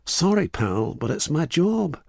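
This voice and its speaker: A man's voice: on the high side, very animated, unhurried, creaky, darkish tone, breathy edge, no echo, clean studio sound, pitched above the performer's own voice, heavily acted. A man voicing a sly, opportunistic con artist who haggles casually, in an audiobook. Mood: sly haggling.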